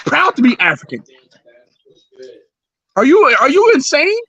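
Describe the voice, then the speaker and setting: Man, livestream host, combative, repetitive, swears, high-pitched, dry close mic. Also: huge pitch swings, a little slow, a bit bright, no echo, dull audio. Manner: combative, repeats himself, swears. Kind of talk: heated casual debate.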